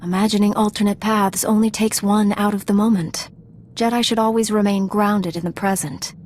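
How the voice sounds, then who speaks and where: A woman's voice: medium pitch, steady tone, normal speed, a little mellow, close to an everyday voice. A woman acting a composed, dutiful Jedi Knight and mentor in a video game, speaking earnestly and formally.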